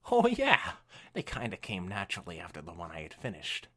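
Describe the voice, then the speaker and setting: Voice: deep voice, huge pitch swings, a little fast, a bit bright, pitched below the performer's own voice, heavily acted. A reader voicing a flustered, evasive female unicorn pony, a guilty bookworm who stalls and draws words out.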